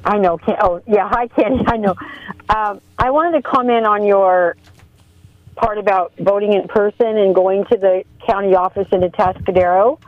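A chatty woman calling in to talk radio, telling stories and laughing as she goes. She comes through a muffled, dull phone line. Her voice sits at a medium pitch with a dry sound. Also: moderate pace, no echo. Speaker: caller, poll worker. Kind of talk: phone-in storytelling.

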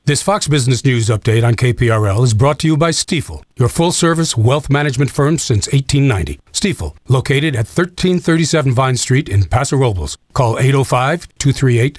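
Lively male radio ad announcer. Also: medium pitch, brisk, few pauses, dry recording. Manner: crisp, promotional delivery. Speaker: sponsor announcer. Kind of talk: radio ad read.

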